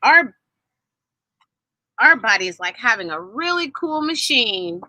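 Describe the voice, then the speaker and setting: A woman's voice: a little high, very animated, a little slow, pushed and bright, no room sound, heavily acted. Woman voicing a jovial, emphatic male storybook doctor. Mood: instructive. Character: friendly male heart doctor, jovial and instructive. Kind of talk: children's storybook read-aloud.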